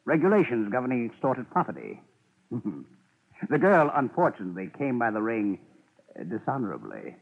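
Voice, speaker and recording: Smug, dry American male police official, husky, deadpan, vintage radio drama with a narrow phone-like sound. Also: mid-range voice, full character voice. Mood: teasing. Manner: deadpan, drawn-out, mock-officious. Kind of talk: 1950s radio drama acting. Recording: muffled, phone-like 1950s radio recording.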